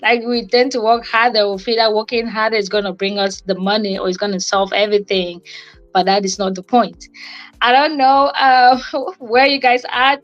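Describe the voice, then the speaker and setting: A woman's voice: medium pitch, fairly even intonation, fairly quick, highs cut off, soft background music. A young adult woman giving an informal motivational talk on a livestream, conversational and repeating phrases for emphasis. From Cameroon. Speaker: co-host and guest speaker on a livestream.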